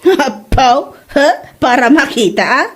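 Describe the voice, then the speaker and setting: A woman's voice: sits high, lively intonation, takes it slowly, slightly rough, full character voice. A woman playing a nagging mother who admonishes her grown son in an Ilocano comedy drama, moralizing in an Ilocano accent. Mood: skeptical, teasing. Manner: scolding, moralizing. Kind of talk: comedy audio drama acting.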